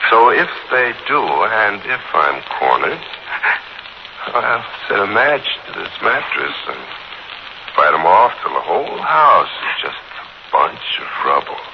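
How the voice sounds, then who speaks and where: An American actor playing a menacing gangster in a 1940s radio thriller, taunting with mock politeness and a sneer. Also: male voice, on the low side, big pitch swings, unhurried, gravelly, exaggerated voice. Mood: gloating threat. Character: menacing wounded gangster holding a mother hostage. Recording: muffled, noisy 1940s radio recording.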